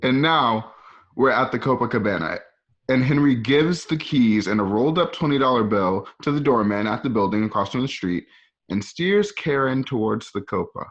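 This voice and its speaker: A man's voice: medium pitch, expressive, normal speed, highs cut off. A man narrating scene directions informally, with casual conversational asides. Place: at home.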